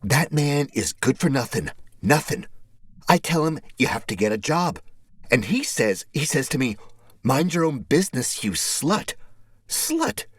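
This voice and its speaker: A man's voice: mid-range voice, very animated, unhurried, husky, dry recording, pitched above the performer's own voice. A man voicing a flirty, chatty woman neighbor who complains in a theatrical, nagging, exclamatory way. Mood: exasperated, indignant.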